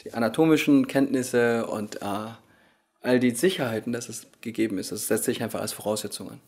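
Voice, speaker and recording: Man, 30s, Korean-born, husky voice, hesitant fillers, indoor interview, handheld recorder. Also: mid-range voice, darkish tone. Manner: thoughtful, many pauses and fillers. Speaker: yoga teacher. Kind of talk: casual interview answers. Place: hotel lounge at a conference, indoors. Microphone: handheld recorder held by the interviewer.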